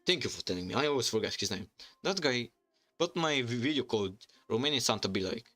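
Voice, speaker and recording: A man from Bulgaria with a Bulgarian accent, chatting casually on a livestream in halting, rambling English full of restarts. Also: medium pitch, animated, pushed and bright. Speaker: streamer and YouTuber. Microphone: desk microphone.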